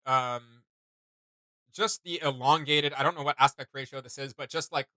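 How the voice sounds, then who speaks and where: A man's voice: medium pitch, steady tone, normal speed, sharp tone, dry recording. A man hosting a casual reaction commentary, speaking informally with slang and often searching for his words. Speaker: reaction video host.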